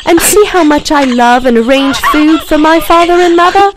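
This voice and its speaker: A woman's voice: high voice, animated, moderate pace, voice pushed up. Woman as a storybook stork: hurt, earnest, pleading, noise behind. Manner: begging, earnest. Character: pleading injured male stork.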